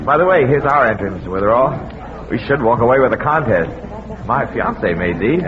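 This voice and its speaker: An actor playing a brisk, confident young man in a 1940s radio comedy. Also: medium pitch, animated, close to an everyday voice. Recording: muffled, reverberant, noisy radio crowd scene.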